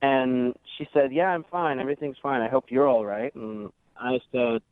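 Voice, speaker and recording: A man's voice: mid-range voice, steady tone, normal speed, dry recording. A man calling a radio show over the phone, telling his story in a casual conversational way. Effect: phone line. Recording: dry, narrow phone line.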